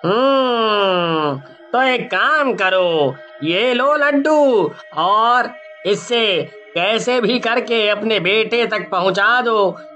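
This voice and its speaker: Man playing a sharp, high-voiced solemn priest. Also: expressive, extremely slow, soft background music, full character voice. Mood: grave, instructing. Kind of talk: Hindi cartoon horror story acting.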